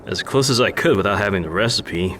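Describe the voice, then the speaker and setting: An actor playing a jaded, sardonic war veteran and brewer in a fantasy video game, dry and wistful. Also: male voice, fairly low pitch, fairly quick, sharp tone, a little hiss or hum. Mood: casual.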